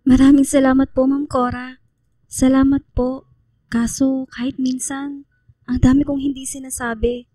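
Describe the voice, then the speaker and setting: Shy, grateful teen schoolgirl, high-pitched, hesitant and respectful, close dry radio drama sound. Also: little pitch movement, moderate pace, no room sound. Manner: hesitant, polite, softly apologetic.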